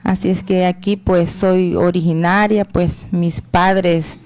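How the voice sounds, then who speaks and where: Una mujer con acento salvadoreño da su testimonio personal de fe con calma, pausando con muletillas como "verdad" y "pues". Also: female voice, fairly low pitch. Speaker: guest giving testimony.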